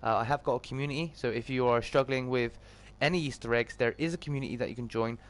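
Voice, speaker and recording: A British man with a slightly croaky, just-woken voice, hosting a casual livestream and greeting viewers chummily. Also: mid-range voice, fast talker. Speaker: live streamer and host.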